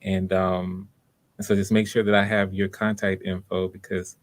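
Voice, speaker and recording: A man's voice: low-pitched, flat delivery, a little slow. A man hosting live auditions in a casual, encouraging way, often repeating his phrases.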